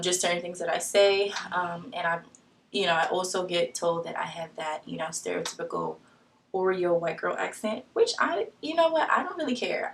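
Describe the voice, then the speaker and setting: A woman's voice: fairly low pitch, far from the mic. A young woman from Long Island, New York, with a light Long Island accent, chatting casually in her first get-to-know-me vlog, full of ums and restarts. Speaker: new YouTuber.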